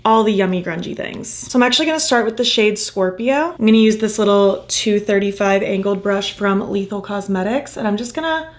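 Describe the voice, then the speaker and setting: A woman chatting casually through a makeup tutorial, gushing about the colors and murmuring softly while she applies them, her voice a little croaky from losing it. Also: medium pitch, a little fast, few pauses. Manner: gushing, enthusiastic, soft murmurs while applying. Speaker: makeup vlogger.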